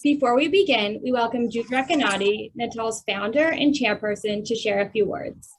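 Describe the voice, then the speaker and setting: A woman's voice: fairly high pitch, normal speed, low-quality recording. A woman co-chairing a council and moderating a virtual event, polite and scripted. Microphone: video call.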